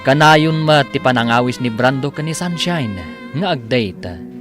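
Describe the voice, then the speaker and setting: A man announces a radio drama in a Filipino accent. His scripted narration is animated and mid-pitched, with music playing clearly behind him. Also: a little slow.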